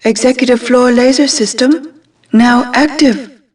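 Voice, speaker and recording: Slow, impassive security computer. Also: female voice, high-pitched, recorded from a distance. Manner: measured, impersonal, announcing. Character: impassive female computer of a building security system. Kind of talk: scripted video game system announcements.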